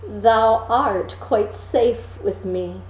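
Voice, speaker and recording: A woman's voice: mid-range voice, a little mellow. A woman voicing a devoted young prince in a storybook, earnest and courtly. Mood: reassuring. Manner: earnest, courtly, measured speech. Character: tender, devoted young fairy-tale prince. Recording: roomy echo, faint background noise.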